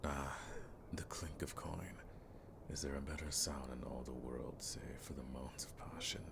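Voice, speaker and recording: A man playing a seasoned, world-weary but dryly friendly monster hunter in a fantasy audio roleplay, speaking in a measured, wry way with old-fashioned phrasing. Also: steady tone, background noise, exaggerated voice. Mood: courteous, pleased. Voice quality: very deep, gravelly.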